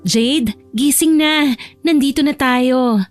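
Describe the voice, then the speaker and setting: A woman voicing a teasing, excitable young female cousin in an audio romance drama. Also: sits high, takes it slowly, soft background music. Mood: excited. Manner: playful, teasing.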